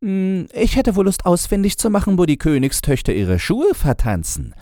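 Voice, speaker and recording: A man's voice: high voice, wildly swooping pitch, moderate pace, dark tone, no room sound, clean studio sound, pitched above the performer's own voice. A man voicing a poor soldier who muses half in jest in a fairy tale audiobook. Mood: musing, joking. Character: poor wounded soldier, musing, jokingly bold.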